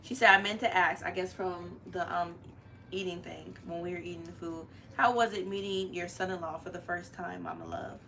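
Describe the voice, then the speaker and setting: Bright-voiced young woman hosting a live stream. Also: fairly low pitch, fairly even intonation, moderate pace, gravelly. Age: young adult. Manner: chatty, familiar, uses slang. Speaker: host of a family live stream. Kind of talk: casual live-stream chat. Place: living room, on a couch. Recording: noticeable room noise.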